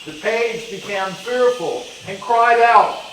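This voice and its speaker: A man plays an old-fashioned storyteller on stage. He narrates descriptively and dramatically in a fairly high voice, picked up at a distance in a roomy space with faint noise. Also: slow, a bit bright. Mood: suspenseful. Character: male storyteller narrating an old winter tale. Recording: echoey hall, far mic, faint noise.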